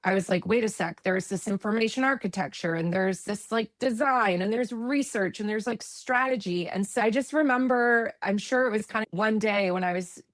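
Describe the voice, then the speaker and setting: A woman's voice: mid-range voice, normal speed, few pauses, dry recording. A woman from eastern Canada with a Canadian accent, a guest talking in a chatty, reflective way and correcting herself as she goes. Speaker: guest, UX expert. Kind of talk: conversational interview.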